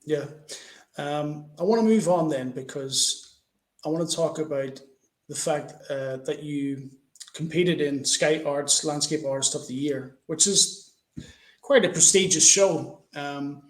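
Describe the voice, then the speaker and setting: Higher-voiced male interviewer, echoey room. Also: measured pace. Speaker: podcast host, interviewer. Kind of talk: conversational interview. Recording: echoey, roomy sound.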